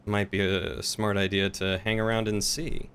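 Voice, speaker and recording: A man with a fairly low voice and a very bright, crisp edge chats casually on a live game stream. There is faint noise behind him as he talks. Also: level tone, moderate pace.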